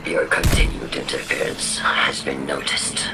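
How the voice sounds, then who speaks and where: A man voicing a cold, imperious science fiction villain in a video game, formal, aloof and deliberate. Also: extremely low pitch, steady tone, gravelly, very noisy, heavily acted. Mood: cold, dismissive. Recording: music behind the voice. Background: music.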